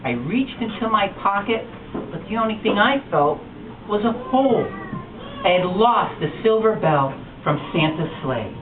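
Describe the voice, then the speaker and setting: Animated man reading to kids, far off. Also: sits high, normal speed. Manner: animated, expressive storytelling. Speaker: storytime reader. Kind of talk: reading a picture book aloud to children. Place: bookstore storytime. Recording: distant echoey lo-fi room recording with noise.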